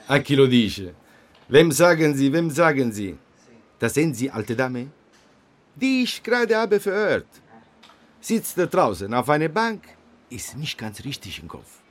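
Italian investigator, husky, unhurried, Italian accent, broken German, incredulous, radio play. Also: male voice, mid-range voice, sing-song. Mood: amused, incredulous. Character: amused, incredulous male Italian police investigator.

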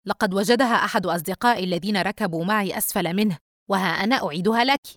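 A woman's voice: mid-range voice, very fast, dry recording, close to an everyday voice. A sly, indifferent teenage brother, acted by a female narrator in an audiobook story. He speaks with cold sarcasm and a taunting, careless edge. The recording is dry. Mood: mocking. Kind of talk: dramatised audiobook dialogue.

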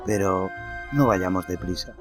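A man reading a horror story aloud in a slow, theatrical way, then presenting notes on film music. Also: sits low. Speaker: narrator and podcast host. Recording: narration over loud music.

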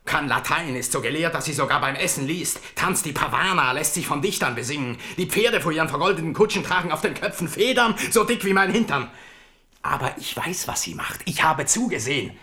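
A crude young king in a radio play. His voice is fairly high, sharp and very animated, blustering with childish temper. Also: a little fast. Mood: sneering, indignant. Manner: blustering, coarse. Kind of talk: radio drama acting.

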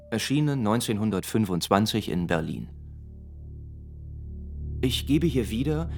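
An actor playing a suave, evasive, boastful young murder suspect in a German radio drama, theatrical and mocking. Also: male voice, on the low side.

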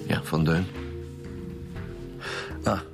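A man's voice: drawn-out words, music in the background. An actor playing a terse, wary male chief inspector with clipped authority and a Flemish accent, in a radio drama. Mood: curt.

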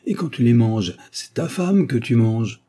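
A man voicing a gruff, paternal but authoritarian village mayor in a storybook, blunt and scolding. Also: medium pitch, moderate pace, warm tone, natural voice. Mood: exasperated. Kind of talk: literary audiobook dialogue.

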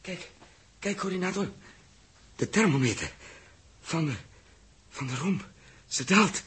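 An actor playing a strained, exhausted but dogged spaceship captain in a 1960s radio drama, halting and repeating himself. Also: male voice, high voice, animated, a bit bright, slightly rough, exaggerated voice. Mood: exhausted, relieved. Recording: slightly noisy 1960s radio recording.

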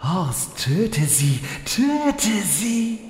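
With echo all around, a wicked talking runesword with a highish, lively voice. It is insinuating and petty, a scheming schemer that is easily offended. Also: male voice, very slow, a little hiss or hum, exaggerated voice. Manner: theatrical, insinuating. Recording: echoing hall reverb.

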